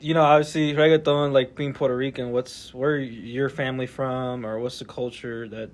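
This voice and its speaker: A man's voice: medium pitch, normal speed, a little hiss or hum. Laid-back man hosting a casual podcast. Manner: laid-back slang, animated when quoting. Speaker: podcast host.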